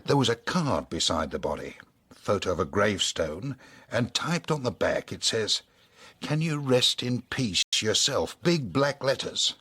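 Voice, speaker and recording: A man's voice: lowish voice, sing-song, unhurried, bright, forceful tone, breathy edge, exaggerated voice. A man acting in a British radio drama as an informal, matter-of-fact police contact.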